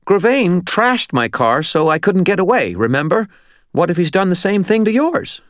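A little man in a storybook scene, frightened to the edge of hysteria. The voice sits very high and swoops about, shaky, pleading and prone to stammer, recorded close and dry. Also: sing-song, moderate pace, no echo. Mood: anxious. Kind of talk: audiobook character acting.

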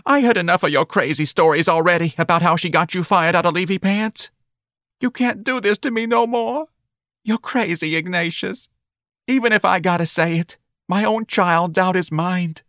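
A man's voice: fairly high pitch, brisk, a bit bright, dry recording, clean studio sound, pitched above the performer's own voice, lightly acted. A man voicing a weepy, exasperated working-class Southern mother in an audiobook, scolding plaintively in ungrammatical speech. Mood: tearful, wailing. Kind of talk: audiobook character dialogue.

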